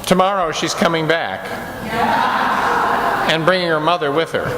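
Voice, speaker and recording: A man's voice: a little high, normal speed, close to an everyday voice. A man acting a flustered, stammering, tearful committee president in an old-time radio comedy. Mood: miserable. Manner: stammering, tongue-tied, tearful, melodramatic. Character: flustered, miserable golf club committee president, henpecked husband. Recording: echoey hall, noisy background.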